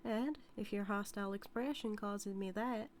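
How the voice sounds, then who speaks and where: A woman's voice: medium pitch, normal speed, natural voice. Woman playing a teasing, obsessive cowgirl, noisy backdrop. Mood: possessive. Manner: drawling folksy Western talk, teasing, calls listener darling. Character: obsessive, teasing, dominant outlaw cowgirl, sweetly menacing. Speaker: audio roleplay performer. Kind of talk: dramatic audio roleplay monologue to the listener.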